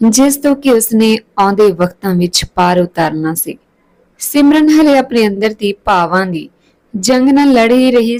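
A woman reading a scripted story as a storyteller, with expressive, literary delivery. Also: mid-range voice, rough recording.

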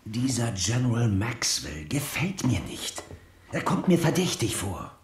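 An actor playing a suspicious, level-headed Wild West sheriff in a German children's audio drama, speaking in a measured, probing way. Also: male voice, mid-range voice, big pitch swings, echoey room, slight background noise. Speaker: sheriff and narrator.

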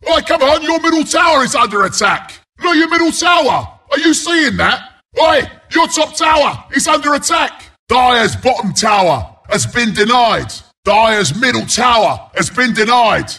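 An actor voicing a mean, cocky porcupine beast as a video game announcer, with a British accent, a gravelly rasp and a rowdy, taunting, blunt delivery. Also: male voice, extremely high pitch, lively intonation, normal speed, sharp tone, raised voice, heavily acted. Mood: urgent, rowdy. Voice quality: gravelly, raspy. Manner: taunting, rowdy, blunt, mock-sympathetic asides.

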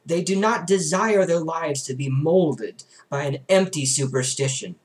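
Young man reading aloud with swinging intonation. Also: on the high side. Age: young adult. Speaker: book reader. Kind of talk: reading a religious book aloud. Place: small bedroom, indoors.

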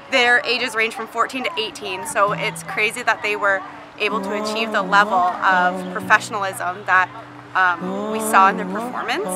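A young woman with a Canadian accent giving a casual spoken testimonial outdoors. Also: medium pitch. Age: young adult. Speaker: festival ambassador giving feedback. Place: outdoors in a park. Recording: loud music behind the voice.

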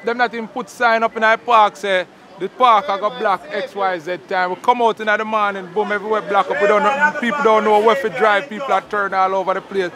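A man with a Jamaican Patois accent, complaining firmly on the street. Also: high voice. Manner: firm, complaining.

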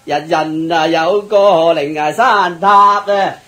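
A slow, eccentric street sage. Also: male voice, fairly high pitch, fairly even intonation, sharp tone. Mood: chanting, whimsical. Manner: sing-song, drawn-out, whimsical. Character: eccentric, laughing, rambling street singer-sage. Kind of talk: radio drama acting, half-sung chanting.

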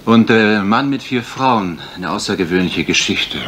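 An actor playing a brisk, wry husband turned amateur detective in a German radio mystery, polite, dry and assured. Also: male voice, on the low side, lively intonation. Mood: wry, pondering. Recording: faint noise, retro radio play.

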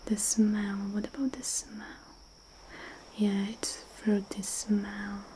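A young woman whispering a slow, dreamy ASMR guided visualization close to the mic, with soft murmured hums between phrases. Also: medium pitch, fairly even intonation, a little hiss or hum. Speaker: ASMR host.

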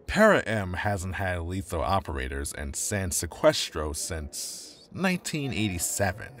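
A man's voice: low-pitched, big pitch swings, a little slow, fairly bright tone, exaggerated voice. A man playing a slick, smug corporate defense attorney in an audio drama, taunting with mock friendliness. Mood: smug, needling.

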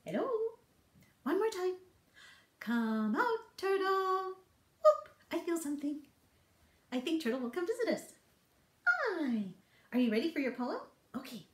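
A woman's voice: high-pitched, big pitch swings, measured pace, pauses often. A middle-aged woman leading a toddler storytime with read-alouds, songs and rhymes in a playful, encouraging, singsong way. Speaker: children's storytime librarian host. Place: small book-lined office. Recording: roomy indoor echo.

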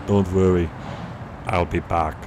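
A man playing an unflappable, wisecracking cop in an action-parody radio play, deadpan and nonchalant. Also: deep voice, slow pace, over loud music. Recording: noisy city and traffic background.

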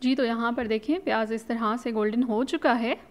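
A woman talks viewers through a home recipe in a chatty, instructive voice-over, often slipping English words into her speech. Her voice sits a little on the higher side. She sounds like a relaxed host of her own cooking channel. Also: moderate pace.

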